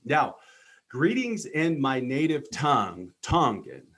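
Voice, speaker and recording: Podcast host, man in his 30s, from Texas, earnest and rambling, mid-pitched voice, lots of ums. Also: very animated, slow, a bit bright. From Texas, United States. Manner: earnest, lots of filler ums and repeated words. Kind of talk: motivational personal monologue.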